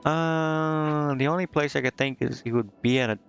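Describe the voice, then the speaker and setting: A man's voice: a little high, normal speed, gravelly, clean tone, dull audio. A man doing casual live game commentary as a streamer, hesitantly thinking aloud to himself and reading on-screen text out. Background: game music.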